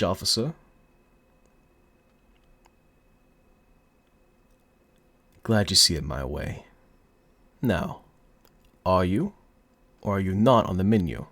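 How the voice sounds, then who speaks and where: A man playing a cocky, flirty, smug mafia boss in an audio roleplay, with a put-on gangster accent and a teasing, drawn-out seductive delivery. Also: sits low, fairly bright tone, no room sound, full character voice. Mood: smug, mocking, then teasing, probing. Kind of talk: ASMR audio roleplay acting.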